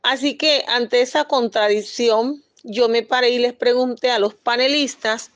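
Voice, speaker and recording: A woman's voice: on the high side, fairly even intonation, unhurried, no room sound. A woman from Panama, speaking as an activist in a firm, insistent press statement with occasional hesitations.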